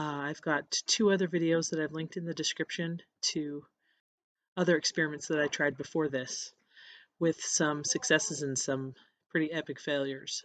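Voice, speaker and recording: Hoarse, scratchy-voiced painter with a cold. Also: medium pitch, a bit bright. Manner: casual, halting, with frequent restarts. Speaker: encaustic painter. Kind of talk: voiceover narrating a painting process. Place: voiceover recorded apart from the footage.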